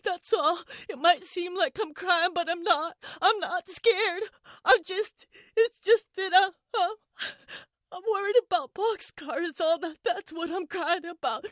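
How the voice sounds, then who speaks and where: Woman acting as a frightened young girl, high bright voice, stammering, defiant, foul-mouthed, dramatic monologue. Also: measured pace, no echo, studio quality. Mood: tearful, panicked. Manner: stammering, repeating herself, foul-mouthed bravado. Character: frightened, defiant young schoolgirl trapped in a car trunk. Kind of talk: dramatic first-person monologue.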